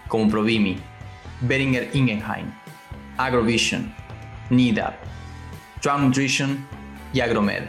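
A man hosts a podcast interview, his voice at an ordinary middle pitch. He asks friendly, measured questions. The room sounds echoey, with faint guitar music underneath. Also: gravelly, a bit bright. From Argentina. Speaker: podcast host.

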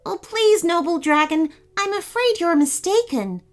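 Woman as a high-voiced, earnest storybook prince. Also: lively intonation, a little slow. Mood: urgent pleading. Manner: courteous, earnest. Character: polite, brave young prince, earnest and calming. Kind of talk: children's storybook character voice.

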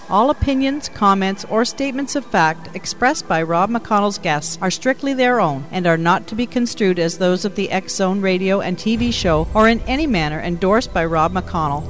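Announcer for a legal disclaimer, mid-range pitch, formal read over faint music.

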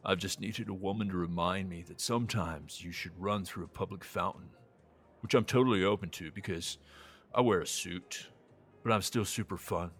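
Man sending up a self-satisfied suitor, glib, lowish voice, audio drama. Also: moderate pace, fairly bright tone, slightly rough, full character voice. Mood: smug, glib. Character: smug, self-satisfied suited suitor trying to seem fun.

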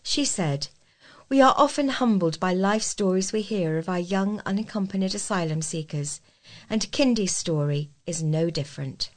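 Lower-pitched woman reading news. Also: animated, moderate pace. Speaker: volunteer news reader. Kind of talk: reading local news aloud, scripted.

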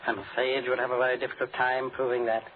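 An actor playing a courteous but menacing doctor in 1940s radio style, speaking formally and with measured politeness. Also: male voice, medium pitch, completely flat delivery, lightly acted. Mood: coolly polite. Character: cold, courteous doctor turned menacing killer. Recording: scratchy, tinny 1940s radio broadcast.

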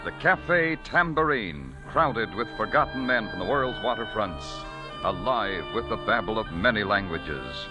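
A man's voice: lowish voice, lively intonation, unhurried, music in the background. A male radio announcer in a formal, declamatory style introducing a 1940s drama.

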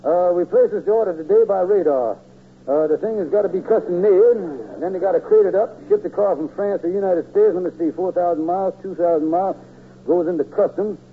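An actor playing a folksy, scheming con-man salesman in a radio comedy, glib and wheedling, with drawn-out hesitations and mangled words. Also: high-pitched, natural voice. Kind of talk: 1940s radio comedy acting. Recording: tinny, hissy old radio broadcast.

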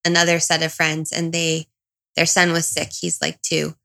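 Low-pitched, gravelly woman, reflective. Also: completely flat delivery, moderate pace, bright, forceful tone. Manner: reflective, confiding, self-questioning. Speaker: interview guest, single parent. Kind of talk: candid personal conversation.

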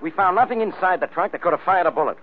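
Blustery fed-up cop inspector, highish voice jumping all over, old tinny radio. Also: male voice, expressive, rapid-fire. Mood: baffled, irritated. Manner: gruff, indignant, bossy. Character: blustering, exasperated, slow-witted male police inspector. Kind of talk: 1940s radio detective acting.

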